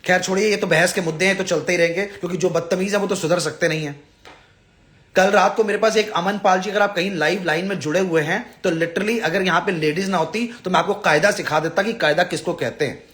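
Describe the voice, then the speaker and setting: A man's voice: sits high, fairly even intonation, brisk, fairly bright tone. A man addresses followers on a live stream, blunt and exasperated, scolding and pleading with emphatic repetition. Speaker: visa advisor and live-stream host.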